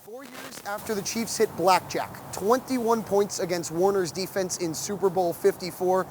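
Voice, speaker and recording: Higher-pitched male sports reporter, scripted delivery. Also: moderate pace, a little mellow, a little hiss or hum.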